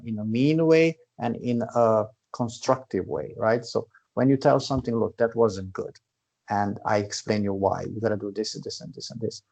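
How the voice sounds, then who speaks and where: A man's voice: medium pitch, creaky, darkish tone. A man from Transylvania in Romania, a karate instructor explaining in casual conversation and checking in with "right?". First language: Hungarian. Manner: earnest, explaining at length with repeated "right?".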